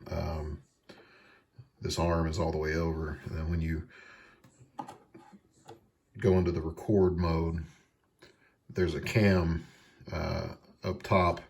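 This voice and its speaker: A man giving a casual hands-on repair tutorial, explaining things in a halting, hesitant way. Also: sits low. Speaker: repair video host.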